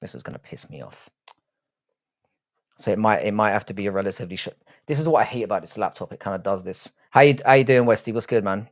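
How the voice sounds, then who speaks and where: A man's voice: lowish voice, animated, quick pace. An Irish-born man with a South London accent, chatting casually with his viewers while hosting a livestream and swearing freely. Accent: South London English. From Ireland. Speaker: livestream host. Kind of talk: casual livestream chat reading viewer comments.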